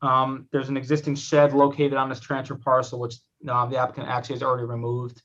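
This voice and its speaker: Male attorney, medium pitch, polite and businesslike presentation, slightly muffled conference-call sound. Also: completely flat delivery, fast talker, fairly bright tone. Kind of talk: semi-formal explanatory presentation. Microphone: video call. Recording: slightly dull video-call audio.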